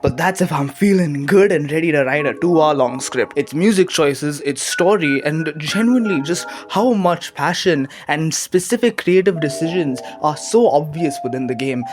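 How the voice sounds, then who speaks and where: A man's voice: fairly high pitch, animated, moderate pace, few pauses, faint music behind. A man giving a casual, opinionated commentary in a rambling, emphatic way with jokey asides. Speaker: video commentator.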